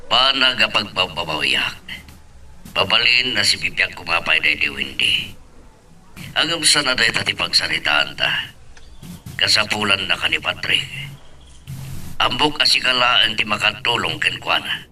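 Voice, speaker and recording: A man acting a very deep-voiced, remorseful father. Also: animated, moderate pace, long pauses, pushed and bright. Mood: regretful. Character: remorseful middle-aged father Liberato, grave and protective. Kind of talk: Ilocano radio-style drama acting. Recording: distant mic, background noise.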